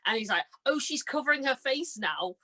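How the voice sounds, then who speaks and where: A woman's voice: high voice, lively intonation, a little fast, bright, forceful tone. A young woman talking casually as an interview guest, telling stories in a chatty way and acting out her reactions. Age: young adult. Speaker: interview guest, amateur actor and cosplayer. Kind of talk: casual conversational chat.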